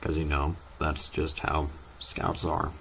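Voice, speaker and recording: A teenage boy, slightly hoarse from losing his voice, chatting casually to his viewers in a rambling, halting way full of fillers. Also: extremely low pitch, little pitch movement, fairly bright tone, a little hiss or hum. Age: teens. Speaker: vlogger. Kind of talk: casual vlog chat to viewers.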